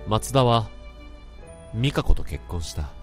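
A man's voice: fairly low pitch, big pitch swings, slow pace, over music. A man playing a remorseful office worker who hears others' thoughts, narrating his story in an introspective, self-questioning way. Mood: reflective. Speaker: narrator and lead character. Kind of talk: radio drama acting and narration.

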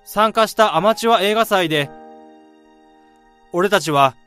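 A young man acting in a radio drama as a steady, caring friend, speaking in a measured, reflective way. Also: sits high. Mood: nostalgic. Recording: music and crowd sounds behind the voice. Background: music and crowd sounds.

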